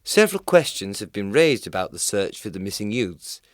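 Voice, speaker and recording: Man playing a low-voiced, dry satirical news reporter. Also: very animated, normal speed, no room sound. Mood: wryly formal. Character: dry male newspaper gossip reporter, wryly mocking. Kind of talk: scripted satirical news reading.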